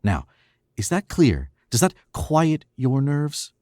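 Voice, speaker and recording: A man plays a cool, domineering young killer in an old-time radio drama. The voice sits at a middle pitch and moves animatedly, needling and condescending. The sound is very dry. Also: wildly swooping pitch, slow, darkish tone, no echo. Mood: impatient, condescending. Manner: condescending, needling, self-assured. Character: cool, domineering young murderer, smug and suave.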